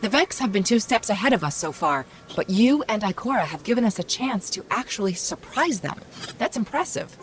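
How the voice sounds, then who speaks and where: An actress playing a witty, upbeat little AI companion in a video game, brisk and confident. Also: female voice, on the high side, lively intonation, a little hiss or hum. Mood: playful, warm. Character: witty, upbeat female Ghost, small AI companion. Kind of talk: scripted video game voice acting. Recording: music playing behind the voice.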